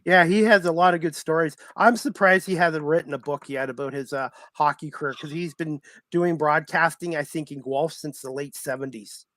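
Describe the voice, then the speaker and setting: A man with a somewhat high voice hosts a casual sports interview. His phrasing is loose and halting, leaning on stock phrases. The recording is dry, with no room sound. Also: fairly even intonation, fairly bright tone, dry recording. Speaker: sports talk show host.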